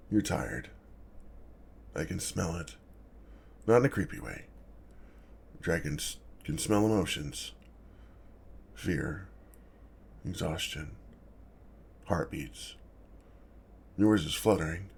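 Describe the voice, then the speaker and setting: A man voicing an awkward, gentle, protective lonely dragon in a soft ASMR roleplay, with a deep gravelly voice and a hesitant, formal way of talking. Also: expressive, full character voice. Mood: awkward, apologetic. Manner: hesitant, self-conscious, halting, formal wording. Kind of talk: ASMR roleplay, speaking softly to the listener.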